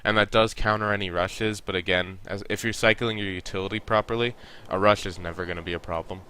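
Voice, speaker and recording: A man's voice: fairly low pitch, steady tone, fast, pushed and bright, slight background noise. A young man with a stuffy nose, casually reviewing a team's game and coaching the players directly. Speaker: esports coach reviewing a match.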